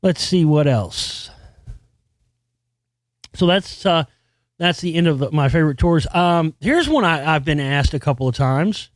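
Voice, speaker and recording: A man's voice: expressive, measured pace, pauses often, no echo, studio quality. A man hosting a podcast alone, talking in a loose, rambling way with restarts and casual swearing. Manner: experienced singer with a high singing voice. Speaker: podcast host. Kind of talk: casual solo monologue about music.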